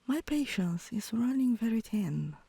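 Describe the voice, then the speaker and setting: A woman voicing a teasing, softly dominant tomboy girlfriend in an intimate ASMR roleplay, with a low voice that turns breathy at times and a coaxing, commanding manner. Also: sing-song, a little slow, dry recording, full character voice.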